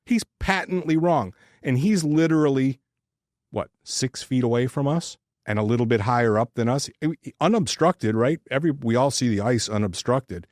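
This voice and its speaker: A man's voice: medium pitch, normal speed, a little mellow, dry recording, studio quality. A man from Detroit with an American accent, co-hosting a casual sports talk show in a blunt, profane, opinionated ranting style. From Detroit, United States. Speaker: sports podcast co-host.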